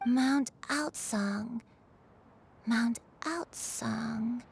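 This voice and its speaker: A woman playing a gentle, troubled game character with lost memory, speaking in a hesitant, soft-spoken way. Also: fairly high pitch, extremely slow, slight background noise. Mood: distressed, confused. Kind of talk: video game voice acting.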